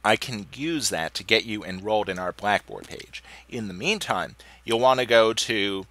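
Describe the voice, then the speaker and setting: A man teaching an online course, talking through a screen recording in a relaxed way with lots of "uh"s, a bit stuffy and hoarse from a cold. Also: fairly low pitch, moderate pace. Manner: relaxed, conversational, with frequent "uh" restarts. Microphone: computer mic, voice-over of screen capture.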